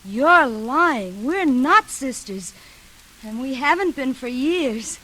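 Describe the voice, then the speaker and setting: High-voiced, slow, deranged vengeful sister. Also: female voice, expressive, bright, forceful tone, full character voice, poor audio. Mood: punishing, menacing. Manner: slow, halting, repetitive. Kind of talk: 1940s radio thriller acting. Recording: vintage radio recording with hiss.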